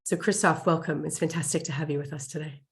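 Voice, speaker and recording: A woman's voice: deep voice, steady tone, quick pace. Woman moderating an online webinar. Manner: friendly, polite, with um fillers. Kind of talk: introducing a speaker. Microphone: video call.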